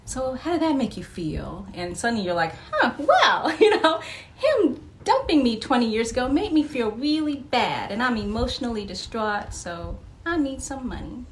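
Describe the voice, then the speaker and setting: A woman chatting casually as a podcast guest, telling personal anecdotes in an expressive way. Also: on the high side, normal speed. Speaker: interview guest. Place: live podcast taping.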